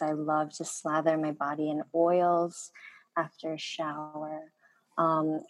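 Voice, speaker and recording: Slow, soft-spoken woman guest. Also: low-pitched, low-quality recording. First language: Korean. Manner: soft-spoken, hesitant with many pauses and fillers. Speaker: guest, skincare maker. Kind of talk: reflective interview talk.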